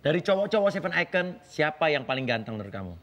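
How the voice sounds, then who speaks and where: Lively joking male show host. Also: fairly high pitch, fast talker. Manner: teasing, laughing, animated questioning. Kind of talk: comedic variety show interview.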